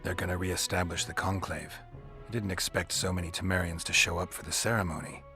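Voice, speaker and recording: A man's voice: deep voice, fairly even intonation, fairly quick, sharp tone, faint music behind. A man playing a stoic, world-weary mercenary monster hunter in a fantasy video game, speaking in a terse, dry, understated way. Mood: pressing. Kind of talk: dramatic fantasy game acting.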